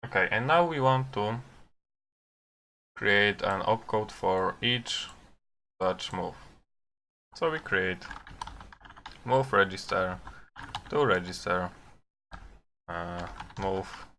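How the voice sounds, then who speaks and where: A man who is a non-native English speaker gives unscripted live coding commentary, thinking aloud haltingly with long pauses. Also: low voice, animated, pushed and bright, slight background noise. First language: not English. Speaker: programmer live-streaming his coding.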